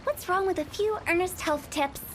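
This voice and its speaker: Cheeky young funeral director girl from a game, super high voice, playful salesy patter, bit of noise behind. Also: normal speed. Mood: breezy, teasing. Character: cheeky, cheerful, eccentric young female funeral parlor director. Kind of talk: video game voice acting.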